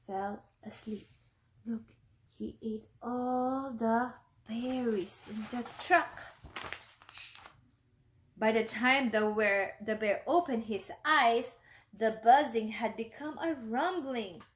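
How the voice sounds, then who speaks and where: A woman's voice: a little high, takes it slowly, low-quality recording. A woman, a teacher, reading a picture book aloud to young children, often stopping to explain words and ask them questions.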